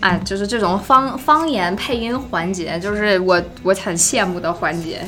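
A woman's voice: mid-range voice, moderate pace, a bit bright, soft background music. A woman host with a standard Mandarin accent, chatting casually and responding eagerly. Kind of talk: casual chat.

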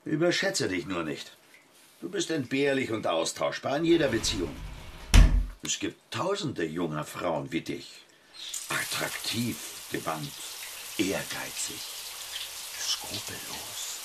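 A man acting a powerful, manipulative middle-aged businessman in a German radio drama, measured, insinuating and condescending. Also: sits low, sing-song, very noisy, exaggerated voice. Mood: disdainful.

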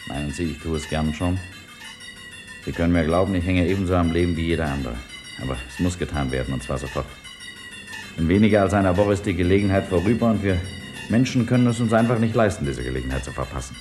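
A determined male scientist, played by an actor, speaks in a firm, earnest way, set on his course. The voice is very deep, with music playing clearly behind. It is classic 1950s radio drama. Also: fast talker, close to an everyday voice. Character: determined male scientist, resolute, self-sacrificing.

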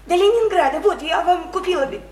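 A woman's voice: very high voice, fairly quick, darkish tone, roomy sound. An actress playing a simple-hearted, devoted woman near thirty in a radio play, imploring and naively repeating herself. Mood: tearful pleading. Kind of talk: theatrical radio play acting. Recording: faintly noisy 1991 radio play recording.

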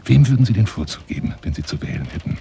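A man's voice: very deep voice, big pitch swings, quick pace, creaky, warm tone, slight background noise, exaggerated voice. An actor playing a lonely, self-important bookish man in a radio drama monologue, theatrical and rambling, often dropping to a near-whisper. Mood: musing, courting.

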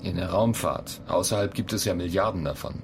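A man's voice: deep voice, brisk, gravelly, background noise. An actor playing an impatient, sardonic man who talks curtly and needlingly to a computer in a radio play. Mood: inquisitive. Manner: curt, needling.

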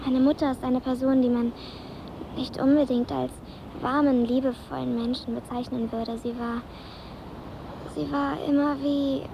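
An actress playing a polite, sad, precocious girl of about ten in a radio drama, speaking formally and haltingly. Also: high voice, flat delivery, vocal fry, noisy background. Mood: sad, composed. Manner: formal, halting, overly courteous.

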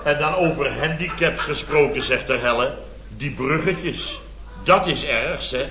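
A blustering boss, echoey old radio. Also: male voice, on the high side, a little slow, sharp tone, slight background noise. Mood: aggrieved. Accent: Dutch. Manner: indignant, rambling storytelling with tag words. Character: blustering, self-important male building-firm boss. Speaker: boss in a radio comedy serial. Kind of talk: 1970s Dutch radio comedy acting. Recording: dull, echoey vintage radio recording with audience.

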